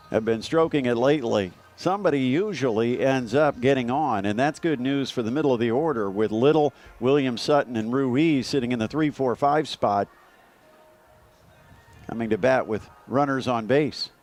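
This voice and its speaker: A man's voice: medium pitch, normal speed, darkish tone, faint music behind. A man giving color commentary on a live baseball broadcast, analyzing the play.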